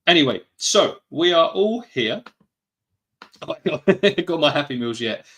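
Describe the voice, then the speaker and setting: A man's voice: fairly high pitch, lively intonation, unhurried, fairly bright tone. A man with a British accent hosting a live podcast-style stream, chatting casually, greeting viewers and wandering off on asides. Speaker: podcast host.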